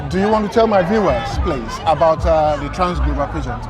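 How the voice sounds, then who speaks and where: A man's voice: on the high side, animated, fairly quick. A man hosting friendly, upbeat interviews outdoors at a pride festival, with frequent ums. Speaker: host. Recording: outdoor crowd and music behind. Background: crowd and music.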